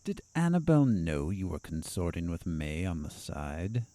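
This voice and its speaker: An actor playing a sardonic asylum doctor in an audio drama, probing and needling in a confrontational way. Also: male voice, low-pitched, sing-song, moderate pace, mellow, dry recording.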